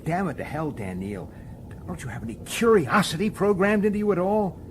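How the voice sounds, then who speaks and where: A weary, dogged detective with a lively, swinging delivery. He is urgent and exasperated, both narrating and arguing, over faint background noise. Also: sits high, brisk. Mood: frustrated. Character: weary, dogged human police detective, sharp-tempered and intense. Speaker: detective and first-person narrator. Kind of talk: radio drama acting with first-person narration.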